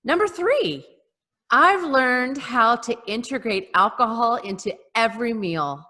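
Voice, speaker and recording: Female podcast co-host, higher bright voice, deadpan comic delivery, captured on a reverberant video call. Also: unhurried. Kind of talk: comic list reading and casual chat on a podcast. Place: remote group video call.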